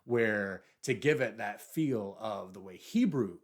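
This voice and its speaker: A man's voice: lowish voice, expressive, takes it slowly. A man talking through a novel in a book review video, musing thoughtfully and sometimes reading passages aloud.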